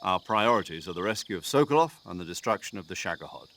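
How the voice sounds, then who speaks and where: A chatty military commander. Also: male voice, sits low, lively intonation, fast, fairly bright tone, slight background noise. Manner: talkative, earnest, briefing tone. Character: brisk male military commander on radio. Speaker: mission commander on radio. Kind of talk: video game cutscene acting.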